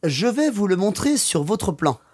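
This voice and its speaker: A man's voice: high-pitched, very animated, moderate pace, darkish tone, slightly rough, exaggerated voice. A man playing a friendly local Frenchman giving helpful directions in a scripted language-course dialogue.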